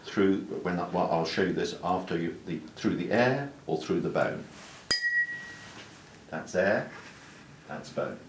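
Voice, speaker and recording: Older male doctor, deep voice, patient explainer; distant mic, roomy clinic sound. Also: unhurried, pauses often. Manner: calm, explanatory, with small restarts. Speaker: doctor demonstrating an exam. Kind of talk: clinical teaching demonstration. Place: clinic examination room. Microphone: far camera mic. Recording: roomy, distant sound with faint room hiss.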